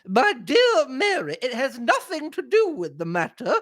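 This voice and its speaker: A man voicing an agitated, haughty elderly woman of means in a drawn-out, theatrical way for a story reading. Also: very high voice, huge pitch swings, a bit bright, pitched above the performer's own voice, full character voice.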